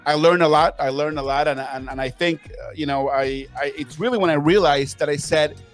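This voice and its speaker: A man's voice: on the high side, fairly quick, faint music behind. A man in his thirties from Venezuela, talking as a podcast guest in an emphatic, upbeat, motivational way. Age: 30s. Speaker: podcast guest, marketing consultant. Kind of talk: casual interview talk.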